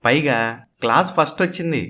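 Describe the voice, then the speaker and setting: A man playing a mild middle-aged father who talks in a measured, reasoning way in an animated moral story. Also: a little high.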